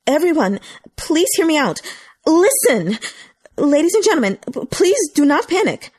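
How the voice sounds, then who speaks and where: A woman's voice: high voice, sing-song, normal speed, a little mellow, no room sound, voice pushed up. A woman voicing an apologetic young female staff member in an audiobook, pleading and stammering, close to tears. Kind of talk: audiobook character voice.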